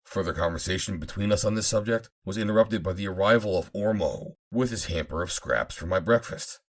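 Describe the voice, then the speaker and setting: A man reading an adventure novel aloud as an audiobook narrator, in a measured, formal storytelling manner. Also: sits low. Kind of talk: dramatic scripted narration of an old adventure novel.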